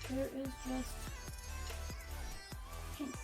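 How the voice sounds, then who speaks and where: High-voiced woman, slow and reflective. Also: completely flat delivery, over music. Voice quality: normally quiet voice, making an effort to project. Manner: reflective, halting, encouraging, candid. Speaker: vlogger painting on camera. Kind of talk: casual self-talk while painting. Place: hot room indoors.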